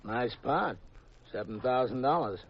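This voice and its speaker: A man acting a menacing, falsely polite gambling boss in a 1950s radio mystery, terse and husky. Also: medium pitch, expressive, a little slow, a little hiss or hum. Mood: smooth menace. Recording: muffled old radio recording.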